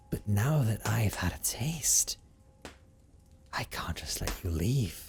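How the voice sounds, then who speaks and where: A man's voice: lowish voice, darkish tone. A man playing a brooding, possessive vampire recluse in an audio roleplay monologue, with formal, theatrical phrasing and a teasing streak. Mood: menacing, hungry. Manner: formal, theatrical, deliberate phrasing with condescending endearments. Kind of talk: dramatic first-person audio roleplay monologue.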